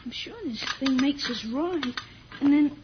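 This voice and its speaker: A very high-pitched young boy takes charge in an old radio space adventure, bossy and quick to get impatient. The recording is dull and narrow, with faint noise behind. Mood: irritated. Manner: bossy, excitable, bluffing confidence. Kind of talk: old-time radio serial acting.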